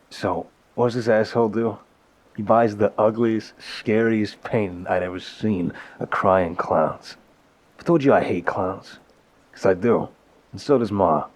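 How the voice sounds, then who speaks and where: Low, husky, smitten mafia boss, acted by a man. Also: a little mellow. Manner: tender, rambling, wryly self-deprecating. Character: apologetic, smitten mafia boss leaving affectionate voicemails. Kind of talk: intimate ASMR roleplay, conversational voicemail monologue.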